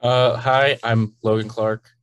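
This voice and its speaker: A man's voice: mid-range voice, little pitch movement, slow pace. A young man, a college freshman and referee, answering interview questions in an easygoing way. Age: young adult. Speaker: referee guest.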